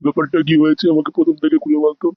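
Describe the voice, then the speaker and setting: A performer voicing a gruff, impatient old grandfather in a comedy cartoon, blustering crudely and demanding answers. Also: medium pitch, little pitch movement, very fast, dry recording, phone-quality sound, lightly acted.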